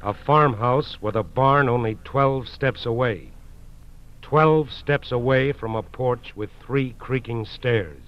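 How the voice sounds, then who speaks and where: A man's voice: mid-range voice, takes it slowly, slight background noise, lightly acted. A man narrating a 1940s radio crime drama in a terse, suspense-building style. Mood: suspenseful. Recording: muffled vintage radio broadcast with music. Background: dramatic music.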